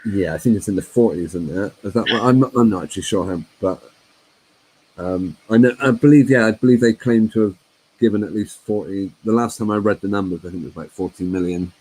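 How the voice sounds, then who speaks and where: Low mellow-voiced man host. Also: brisk, a little hiss or hum, low-quality recording. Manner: hesitant, musing, many fillers. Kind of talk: casual call-in chat.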